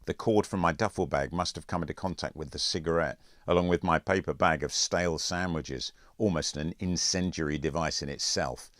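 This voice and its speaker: A man's voice: a bass voice, fairly quick, few pauses, a bit bright, no echo, studio quality. A man reading a scripted first-person story as an audiobook narrator. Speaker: author reading own audiobook.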